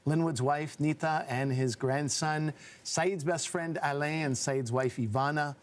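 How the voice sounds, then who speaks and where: A man hosting a live book debate, easygoing and quick to laugh between remarks. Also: fairly high pitch. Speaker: host.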